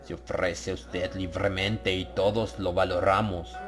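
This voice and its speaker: A man voicing a menacing cult brother in a horror audiobook, with a hard bass, slow solemn delivery and false warmth. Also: little pitch movement, music in the background. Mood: ominous reverence.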